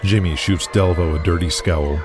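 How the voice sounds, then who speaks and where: A man's voice: low-pitched, steady tone, moderate pace, music in the background. A man narrating an audio drama from a script, describing each scene in the present tense.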